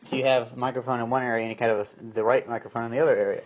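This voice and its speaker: A man's voice: mid-range voice, fairly even intonation, racing. A man giving a casual, unscripted show-and-tell, musing aloud to himself as he handles gear, recorded indoors on a handheld camera's microphone. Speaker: vlogger showing a collection of tape recorders. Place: indoor room at home. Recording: dull, noisy handheld camera sound with clicks.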